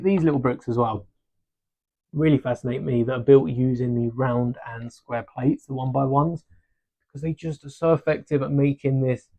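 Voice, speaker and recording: A man chats casually to his live-stream viewers as he builds, thinking aloud and poking fun at himself. His voice sits at an ordinary pitch and comes through a desk mic. The stream audio is a little dull and lo-fi. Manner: relaxed, chatty, self-deprecating, thinks aloud. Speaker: livestream host building a brick set. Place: home desk during a livestream. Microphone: desk microphone.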